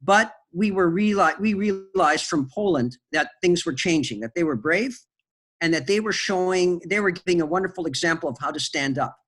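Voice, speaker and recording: A man's voice: high-pitched, moderate pace, fairly bright tone. Man, talking with many fillers. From Canada. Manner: hesitant, many fillers and restarts. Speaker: interview guest, film producer. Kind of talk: conversational interview storytelling.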